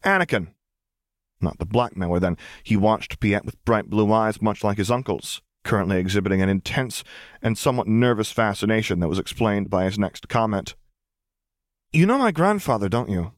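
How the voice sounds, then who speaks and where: Low-pitched man narrating a story. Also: fairly even intonation, brisk, no echo, clean studio sound. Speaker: audiobook reader.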